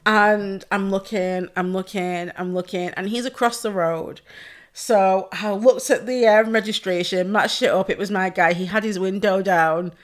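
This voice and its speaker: A woman hosting her own podcast alone, hoarse and losing her voice, chatting casually, swearing freely and laughing often. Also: medium pitch, fairly even intonation, a little slow. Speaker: podcast host. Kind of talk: casual solo podcast chat.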